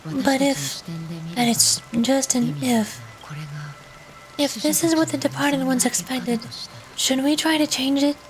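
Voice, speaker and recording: High-voiced woman streamer reading aloud. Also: slow, pauses often, a little hiss or hum, low-quality recording. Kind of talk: reading game story aloud.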